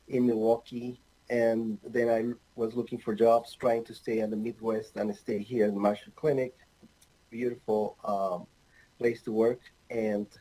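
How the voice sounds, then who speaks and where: Mellow-voiced man from Ecuador lecturing. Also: mid-range voice, poor audio. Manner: halting, many fillers, light humor. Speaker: anesthesiologist presenter. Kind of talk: recorded online medical lecture. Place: home office with bookshelves.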